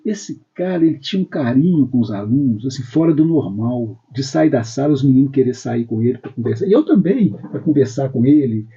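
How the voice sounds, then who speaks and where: Man, host of a religious talk show, very dark husky voice, dull lo-fi sound, chatty and reflective. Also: medium pitch, lively intonation, fairly quick, few pauses. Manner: warm storytelling, frequent "né?" tag questions. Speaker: program host. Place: spiritist center.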